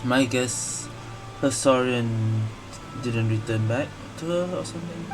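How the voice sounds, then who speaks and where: A woman streamer talks slowly and sparingly through a very sore throat. Her commentary is casual and subdued, with loud game music behind it. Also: very deep voice, low-quality recording. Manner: subdued, sparse short remarks. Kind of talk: casual play-along commentary.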